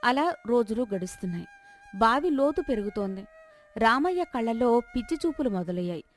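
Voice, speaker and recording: A woman narrates a scripted folk tale as its storyteller. Her voice sits a little higher than average, and music plays underneath. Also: expressive, moderate pace.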